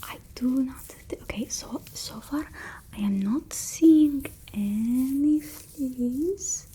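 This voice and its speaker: Casting: haughty female vampire played by a woman for whispered ASMR roleplay, slow and fussy, mellow tone. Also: high voice, heavily acted. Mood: fussy, scolding. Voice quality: breathy whisper, soft voiced in places. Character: haughty fussy female vampire mistress instructing her familiar. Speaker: ASMR creator.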